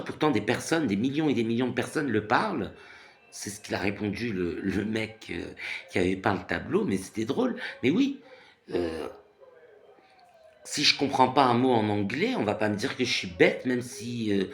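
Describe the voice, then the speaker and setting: A man's voice: mid-range voice, sing-song, normal speed. A man in his thirties from France, ranting casually in a rambling monologue full of fillers and repeated phrases. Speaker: vlogger.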